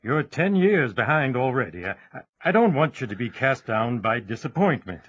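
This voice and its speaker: An actor playing a weary, gentle older farmer father in an audio drama, halting and homely. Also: mid-range voice, big pitch swings, husky, lo-fi sound.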